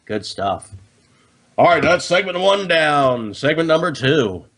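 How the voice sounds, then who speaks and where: A man's voice: on the high side, big pitch swings, measured pace, vocal fry, fairly bright tone. Chatty American man hosting a hobby show. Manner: folksy, chatty, slangy, with frequent "okay?" checks. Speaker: host of a hobby gun and gadget show. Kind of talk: casual unscripted explainer to viewers.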